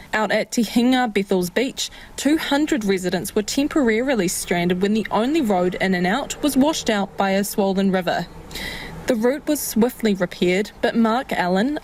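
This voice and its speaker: A woman with a New Zealand accent reading a scripted radio news report in a steady, measured way. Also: mid-range voice.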